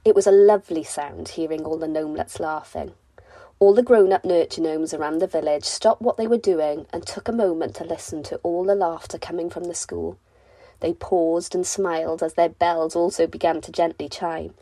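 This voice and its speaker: A woman storyteller gently and expressively narrating a bedtime story for children. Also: sits low, fairly even intonation, normal speed, no echo.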